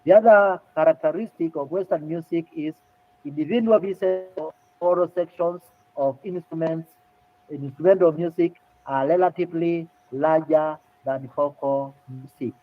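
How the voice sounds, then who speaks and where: A man lecturing to students, explaining things deliberately and repeating key points. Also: on the high side. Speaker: music lecturer. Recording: tinny phone-like audio.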